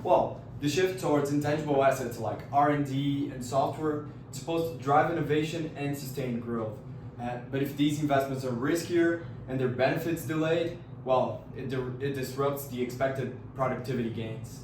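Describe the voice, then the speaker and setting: A man's voice: medium pitch, moderate pace, darkish tone, a little hiss or hum, natural voice. A young man playing an economist colleague. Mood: explaining. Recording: very echoey room, far mic.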